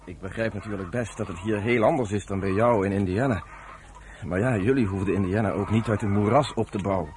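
An actor playing a steady, affectionate young farm son in a radio drama, earnest and reassuring. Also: male voice, lowish voice, brisk, lightly acted. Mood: proud, earnest. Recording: noisy old radio play with farm animal sounds.

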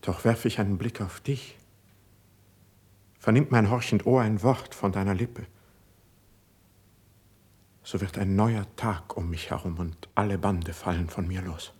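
An actor playing a troubled, devoted poet in a classical verse radio drama, speaking in a fervent, lyrical way. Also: male voice, low voice, fairly even intonation, measured pace, long pauses, darkish tone, slightly rough. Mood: troubled, hesitant. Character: troubled young male poet, devoted, self-doubting, passionate.

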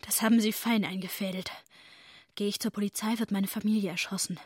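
A woman's voice: medium pitch, fairly even intonation, brisk, husky, dry recording, clean studio sound, close to an everyday voice. An actress playing an anguished, insistent young woman in a German radio play. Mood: bitter, accusing.

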